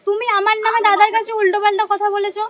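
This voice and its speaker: Very high-voiced young woman, speaking fast. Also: level tone. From West Bengal, India. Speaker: prank caller, vlogger. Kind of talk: casual prank phone call.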